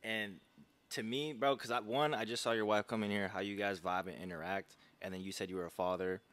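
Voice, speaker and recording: A man's voice: fairly low pitch, fairly even intonation, fairly quick, a bit bright. A man in his twenties hosting a podcast, talking in a casual, slangy, playfully teasing way. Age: 20s. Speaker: podcast host. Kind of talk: casual interview chat.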